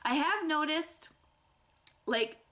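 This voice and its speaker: Chatty bright-voiced young woman vlogging. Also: high voice, expressive, takes it slowly. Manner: chatty, candid, confiding. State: stuffy nose. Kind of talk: casual pregnancy vlog chat.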